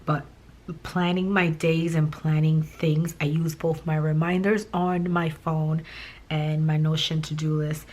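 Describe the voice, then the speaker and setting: A woman talks in a low, raspy voice that is hoarse and worn. She chats casually and rambles a little, with plenty of fillers and restarts as she plans. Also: level tone, measured pace, darkish tone. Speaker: planning vlogger. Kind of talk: casual chat while planning.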